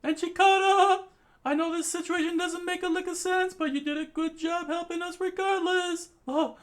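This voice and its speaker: A man's voice: very high-pitched, steady tone, moderate pace, voice pushed up. A woman voicing a nervous, dramatic young man who stammers when flustered.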